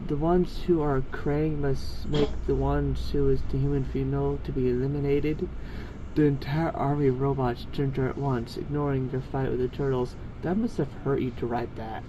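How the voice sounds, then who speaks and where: A man's voice: mid-range voice, steady tone, normal speed, noisy background. A man reading a story aloud in an amateur style, with casual asides. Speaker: co-reader of fan fiction.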